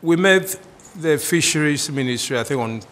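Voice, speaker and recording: A man, a guest on a studio panel, explaining policy in a halting, explanatory way. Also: on the high side, lively intonation, a bit bright. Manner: explanatory, with fillers and restarts.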